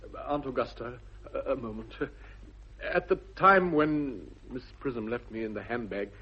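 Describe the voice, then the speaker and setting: An actor playing an earnest, excitable upper-class English gentleman in a radio comedy, theatrical and formal in his phrasing. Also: mid-range voice, normal speed, husky. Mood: anxious, polite. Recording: dull old radio recording.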